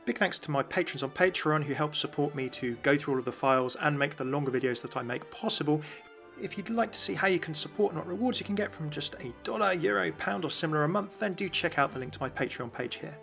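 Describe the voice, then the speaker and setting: A man's voice: a little high, animated, quick pace, gravelly, a bit bright, faint music behind. Chatty male game lore voice-over host. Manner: chatty, enthusiastic, speculative. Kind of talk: scripted commentary voice-over.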